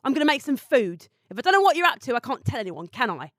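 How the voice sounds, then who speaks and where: A sharp-tongued woman in a radio play. Her voice is high and swings widely in pitch, recorded dry and close. She talks bluntly, swears freely and bristles with indignation. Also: very animated, fast talker, no echo. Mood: accusing, defensive. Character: Mamone, brash hard-drinking sharp-tongued female companion of a singer. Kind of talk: audio drama acting.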